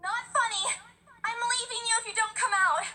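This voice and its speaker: A woman's voice: very high voice, steady tone, moderate pace, bright, forceful tone, pitched above the performer's own voice, full character voice. A woman voicing a frightened young heroine, anxious and calling out. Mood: scared.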